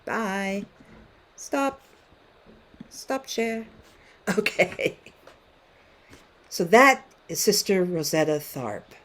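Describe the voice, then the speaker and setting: A woman's voice: mid-range voice, lively intonation, extremely slow, long pauses, fairly bright tone. A woman in her late fifties, a musician guest-teaching a class over a video call, telling stories and asking students questions in a conversational way. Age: 50s. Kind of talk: online classroom talk.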